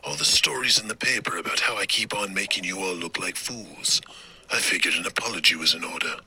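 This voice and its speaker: An actor playing a courteous, menacing serial killer in a radio suspense play, with a husky, half-whispered voice and a mock-polite, taunting manner. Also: male voice, low-pitched, brisk, sharp tone, exaggerated voice, poor audio. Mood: sinister, taunting. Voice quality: husky, raspy, partly whispered. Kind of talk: old-time radio suspense acting.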